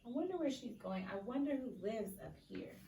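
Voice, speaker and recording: A woman teacher reading a picture book aloud expressively, pausing to question and explain in an instructive, emphatic way. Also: on the high side, lively intonation, mellow. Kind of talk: expressive story read-aloud with questions to children. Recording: echoey room, distant mic, faint noise.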